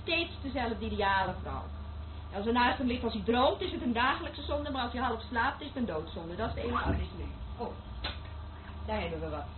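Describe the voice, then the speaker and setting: An actress playing a blasé surveillance worker in a radio drama, speaking in a dry, didactic way. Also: female voice, fairly high pitch, animated, brisk, over a noisy crowd, natural voice. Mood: bored, wry. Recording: tinny old radio recording, faint hiss.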